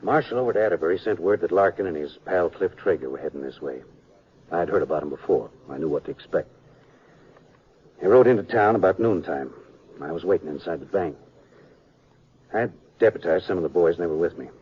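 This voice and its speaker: An actor playing an older small-town American sheriff, folksy and halting, in a 1950s Western radio drama. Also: male voice, low-pitched, animated, breathy edge. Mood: grave, regretful. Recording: muffled, noisy 1950s radio recording.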